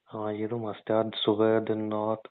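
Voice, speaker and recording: A man's voice: fairly low pitch, monotone, normal speed, clean tone. A young man in a casual, bantering relationship phone call, talking in a slangy, coarse and insistent way. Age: young adult. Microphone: phone line. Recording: tinny narrowband phone call.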